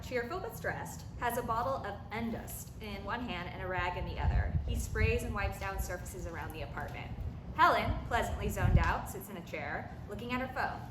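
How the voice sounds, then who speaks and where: A woman serves as stage-direction reader at a table read. She has a medium-pitched, animated delivery. The recording is echoey, with clear background noise. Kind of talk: scripted narration at a play table read.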